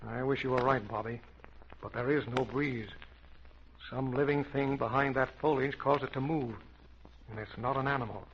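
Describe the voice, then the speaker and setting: A man's voice: medium pitch, steady tone, normal speed, natural voice. An American man playing a bold, commanding safari captain in a theatrical old-time radio adventure serial. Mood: tense, hushed. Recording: dull, noisy vintage radio recording.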